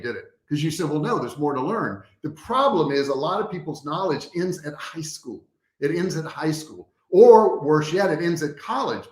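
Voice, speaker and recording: A man giving a motivational coaching talk to a live online audience, direct and conversational, repeating key points for emphasis. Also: a little high. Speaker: business coach, host of a livestream training. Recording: echoey, roomy sound.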